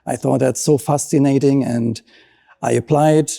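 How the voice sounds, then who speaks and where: A man's voice: mid-range voice, steady tone, measured pace, vocal fry, dark tone. A middle-aged man with a German accent, a marine scientist explaining his work in an interview with earnest enthusiasm.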